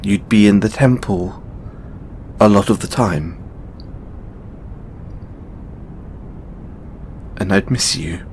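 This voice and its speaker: Low, halting god boyfriend acted by a man. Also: gravelly, a little mellow. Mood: confessing, tender. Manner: halting, stammering, repeats words. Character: male god, flustered tender boyfriend to his mortal devotee. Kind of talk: intimate audio roleplay. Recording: noticeable low rumbling background noise.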